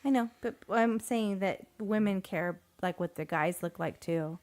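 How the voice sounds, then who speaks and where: Female podcast co-host, tired, wry, quick to laugh, mid-range voice. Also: mid-range voice, a little mellow. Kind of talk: casual banter.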